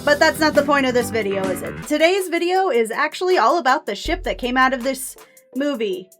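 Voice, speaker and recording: A woman's voice: high-pitched, expressive, fairly quick, fairly bright tone, soft background music. A woman hosting a scripted video essay, with an informal, opinionated and playfully emphatic manner.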